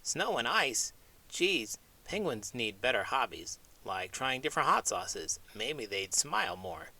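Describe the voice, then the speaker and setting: A cocky little wisecracker of a pig, acted out by a man in a children's story skit. The delivery is boastful and cheeky, with very lively pitch swings. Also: measured pace, heavily acted. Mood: cheeky, skeptical. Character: cheeky hot-sauce-obsessed pet pig, brashly confident. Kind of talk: children's read-aloud comic skit.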